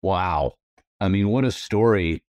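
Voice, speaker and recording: A man's voice: low voice, measured pace, a little mellow, no echo, clean studio sound. A man co-hosting a podcast, chatty and rambling, with a self-deprecating manner. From United States. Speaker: podcast co-host. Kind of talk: casual interview chat.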